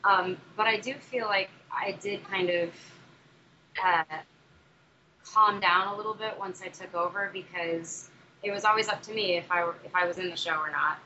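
Bright-voiced young actress, chatty and muffled. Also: female voice, medium pitch, steady tone, normal speed. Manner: chatty, many fillers and restarts. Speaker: musical theatre actress, interview guest. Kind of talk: casual interview answers. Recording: dull muffled recording with faint noise.

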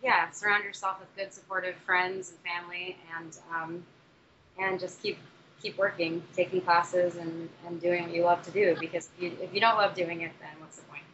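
Bright-voiced young actress, chatty and muffled. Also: female voice, on the low side, little pitch movement, normal speed. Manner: chatty, many fillers and restarts. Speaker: musical theatre actress, interview guest. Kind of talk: casual interview answers. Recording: dull muffled recording with faint noise.

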